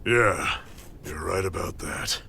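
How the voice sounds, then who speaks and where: Gravelly, weary fighting father. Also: sits low, lively intonation, takes it slowly, sharp tone, a little hiss or hum, heavily acted. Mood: weak, relieved. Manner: solemn, heartfelt, weighty delivery. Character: weary, defiant middle-aged father and resistance fighter, regretful. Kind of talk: dramatic video game voice acting. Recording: music behind the voice.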